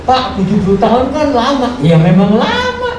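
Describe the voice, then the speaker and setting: A woman's voice: fairly high pitch, wildly swooping pitch, moderate pace, a little mellow. Echoey, distant older woman preaching. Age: 70s. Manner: exhortatory, with rhetorical questions and repeated phrases. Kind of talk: sermon to a congregation. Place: large echoey room at a live church service. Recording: very echoey, distant, noisy live church recording.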